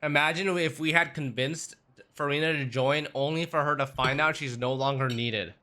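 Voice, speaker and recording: A man's voice: fairly high pitch, steady tone, moderate pace, bright, forceful tone. A man giving casual reaction commentary as a game livestreamer. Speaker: streamer. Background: game music.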